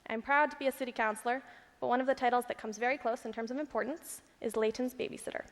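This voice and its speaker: A woman's voice: on the high side, fairly even intonation, fast. A woman reading a prepared tribute speech aloud at an awards ceremony, recorded in an echoing hall. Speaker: staffer reading remarks on another's behalf. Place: large indoor event hall. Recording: echoey hall with long reverb.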